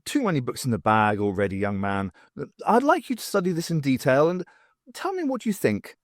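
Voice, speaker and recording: A man voicing a testy, flustered, eccentric woman professor with a brisk manner in an audiobook. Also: mid-range voice, very animated, dry recording, studio quality, lightly acted. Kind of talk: audiobook character dialogue.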